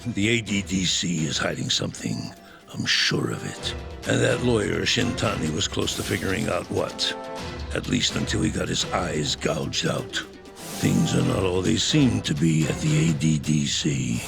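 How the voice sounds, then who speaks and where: A powerful older businessman in a game drama, very gravelly and deep. He speaks in a measured, calculating manner, every phrase deliberate, with music playing clearly behind him. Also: very animated, heavily acted. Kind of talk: dramatic video game cutscene acting. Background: dramatic background music.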